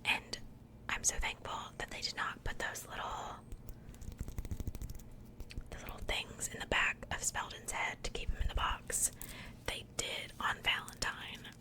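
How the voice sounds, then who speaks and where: A woman whispering softly and breathily in a casual, gentle ASMR style, chatting conversationally close to the microphone. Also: unhurried, pauses often, poor audio. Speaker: host of an ASMR doll unboxing channel.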